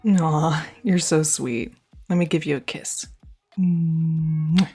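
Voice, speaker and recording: A woman's voice: low voice, fairly even intonation, slow, dark tone, husky, faint music behind. A young woman performing a scripted girlfriend roleplay, speaking close to the mic in an affectionate, teasing and reassuring way.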